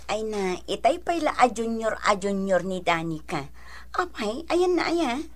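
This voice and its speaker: An actress playing a concerned, motherly elder sister from the province, gently admonishing, in an Ilocano radio drama. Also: on the high side, big pitch swings, measured pace. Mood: soothing.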